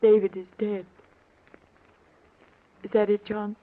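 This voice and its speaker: A woman's voice: medium pitch, little pitch movement, slow, lightly acted. An actress playing an anxious, desperate doctor's wife and mother in melodramatic, pleading old-time radio mystery style. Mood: dread, pleading. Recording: muffled, noisy old radio recording.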